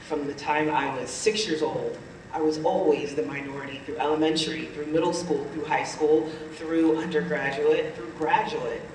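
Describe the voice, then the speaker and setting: A higher-voiced man panelist in an echo. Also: fairly even intonation, normal speed, darkish tone, slight background noise. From Nigeria. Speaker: panelist, pharmacist. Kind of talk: panel discussion, personal story. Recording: echoey hall, distant mic.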